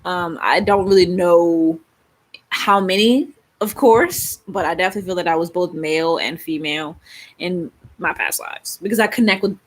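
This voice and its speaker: A young woman from Baltimore with a Baltimore accent, hosting a casual live stream with rambling, laughing talk and lots of fillers. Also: fairly low pitch. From Baltimore, Maryland. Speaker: livestream host.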